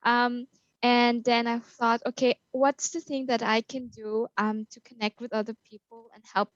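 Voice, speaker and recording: A woman's voice: on the high side, level tone, normal speed, no echo. Upbeat young Austrian-born woman guest. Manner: fluent, upbeat, many fillers like "um" and "like". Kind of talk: casual interview. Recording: slightly dull, lo-fi call audio.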